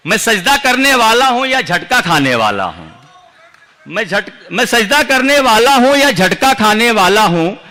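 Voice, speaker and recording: A man's voice: high-pitched, pushed and bright. A man from India reciting his own verse at a poetry gathering, declaiming and repeating lines for effect. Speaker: poet at a recital. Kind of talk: reciting humorous and serious verse. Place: large outdoor poetry gathering.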